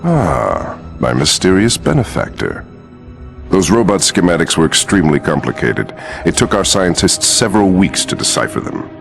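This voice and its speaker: An actor playing a scheming, self-satisfied criminal mastermind in a video game, smug and measured, with a very gravelly voice. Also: male voice, low-pitched, huge pitch swings, heavily acted. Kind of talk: scripted video game villain acting. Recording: music behind the voice.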